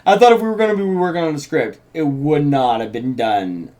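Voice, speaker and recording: Male co-host, noticeably high-pitched voice, casual conversational delivery. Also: brisk, a little mellow. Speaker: show co-host. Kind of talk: casual co-hosted chat.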